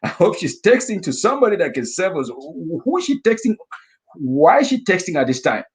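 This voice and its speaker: A middle-aged man from Africa telling a vivid personal story as a guest speaker, acting out his own inner thoughts in lively asides. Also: sits high, fairly quick. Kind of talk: storytelling talk.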